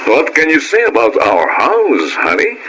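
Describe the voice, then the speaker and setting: A man's voice: medium pitch, wildly swooping pitch, a little slow, background noise. A man playing a rich, indulgent, smug lover in a Filipino radio drama, with suave delivery. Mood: smug, affectionate.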